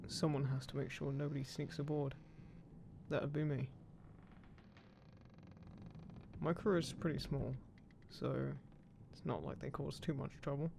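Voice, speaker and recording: Gravelly male ghost pirate captain, acted by a man, guarded, measured, dryly gruff, intimate fantasy audio drama, creaking wooden ship behind. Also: mid-range voice, background noise, natural voice. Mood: calm, matter-of-fact. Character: guarded ghost pirate captain, fiercely protective of his crew. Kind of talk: immersive roleplay audio drama, spoken intimately to one listener. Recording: clear background ship-creak sound effects. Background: creaking wooden ship ambience.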